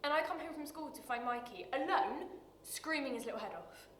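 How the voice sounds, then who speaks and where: A woman acting a dramatic screen monologue as a bitter, wounded working-class woman, confessional and halting, with weighted pauses. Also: high-pitched, expressive, fairly bright tone. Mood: pained. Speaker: actor performing a monologue. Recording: roomy echo with light background noise.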